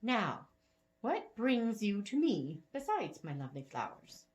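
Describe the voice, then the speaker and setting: A woman's voice: medium pitch, very animated, a little slow, darkish tone. Woman playing a genteel old lady, lilting and playful. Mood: gracious, knowing. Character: spry, matchmaking old lady, gracious and teasing.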